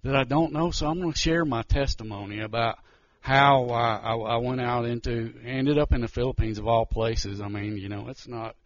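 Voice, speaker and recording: A man speaks haltingly to a church crowd, often stopping and restarting his sentences. His voice sits at a middling pitch. The recording is dry and muffled, with a lo-fi sound. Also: no echo. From Georgia, US. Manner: nervous, halting, many restarts. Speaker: missionary. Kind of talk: church testimony talk.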